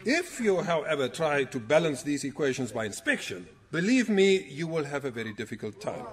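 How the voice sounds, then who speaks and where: An actor playing a formal, wry science teacher in an audio drama, precise and wordy in manner. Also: male voice, on the high side, big pitch swings, brisk. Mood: lecturing.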